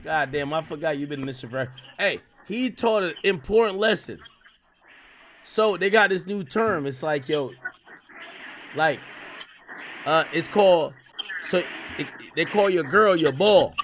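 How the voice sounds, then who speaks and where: High-voiced man in his thirties, animated. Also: measured pace, pauses often, slight background noise. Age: 30s. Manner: animated, repeats himself, talks over guest. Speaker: streamer host. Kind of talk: casual livestream chat.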